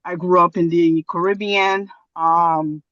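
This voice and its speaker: A woman from Haiti giving a long comment on a video call, pausing often with fillers and restarts. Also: fairly low pitch, steady tone, fairly bright tone, dry recording, lo-fi sound. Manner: hesitant, many fillers and restarts. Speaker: audience member commenting.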